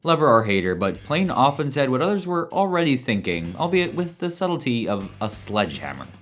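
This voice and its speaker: A man's voice: mid-range voice, very animated, fairly quick, music in the background. A man doing scripted countdown narration with a wry, punchy delivery.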